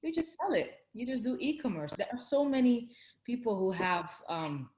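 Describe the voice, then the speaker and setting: A woman from the Netherlands with a Dutch accent, hosting a podcast in a chatty, animated way with many fillers and frequent laughs. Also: on the high side, moderate pace, a little hiss or hum. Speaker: podcast host. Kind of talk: casual conversational chat. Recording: echoey room.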